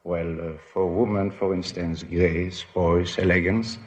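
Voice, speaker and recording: A man from Belgium with a Belgian accent, a fencing instructor earnestly and haltingly answering questions as a contestant. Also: low-pitched, fairly even intonation. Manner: earnest, halting, non-native phrasing.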